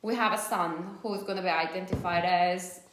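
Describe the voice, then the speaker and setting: A woman's voice: on the low side, fairly even intonation, normal speed, background noise. A woman in her thirties from South Korea with a Korean accent, chatting casually and telling stories in English, which is not her first language. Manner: chatty, storytelling, loose non-native grammar. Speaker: host of a live stream. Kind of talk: casual chat. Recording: echoey room.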